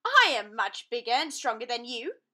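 A forceful storybook lion, big, proud and male, as a woman reader puts him on. The delivery is grand and declamatory, swinging widely in pitch. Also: big pitch swings, moderate pace. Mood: boastful. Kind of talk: children's storybook read-aloud.

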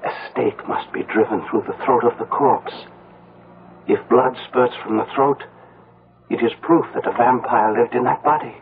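A narrow, tinny vintage radio broadcast carries a grave village man, played by a male actor. His mid-pitched voice is deliberate and portentous, full of superstitious dread in the manner of a gothic radio play. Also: close to an everyday voice. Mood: grave, foreboding. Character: solemn, fearful village man who believes in vampires. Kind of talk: 1970s radio mystery acting. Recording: muffled, narrow-band old radio broadcast.

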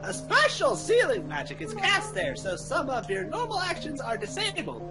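A man's voice: very high-pitched, wildly swooping pitch, moderate pace, loud music behind the voice, pitched above the performer's own voice, heavily acted. A man reading game dialogue aloud as an old male guide who lectures on dungeon rules, theatrical and hammy. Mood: lecturing. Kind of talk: voicing game dialogue over a playthrough.

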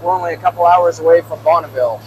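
A man's voice: on the high side, racing, darkish tone, low-quality recording. A man in his thirties chatting casually while he drives an old pickup, explaining his truck build to the camera. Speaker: vlogger. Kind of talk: casual road trip vlog. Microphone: small clip-on mic on the shirt. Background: engine and road hum.

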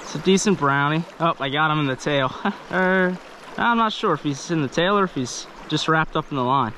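Outdoors fishing vlogger, male, casual and excited, action-cam audio with a soft river hiss. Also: fairly high pitch. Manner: chatty, excited, talks to the fish. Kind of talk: casual narration while fishing. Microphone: head-mounted action camera. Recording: faint river noise outdoors. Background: rushing stream water.

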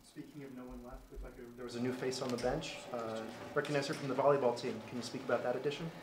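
A man's voice: mid-range voice, fairly even intonation, fast talker. A male reporter asking direct questions at a press conference. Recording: echoey room with clear noise.

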